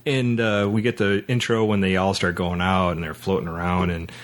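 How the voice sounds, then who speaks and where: A man hosting a podcast, casually talking through a film in a halting way, circling back to repeat his words. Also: low voice, flat delivery. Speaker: podcast host.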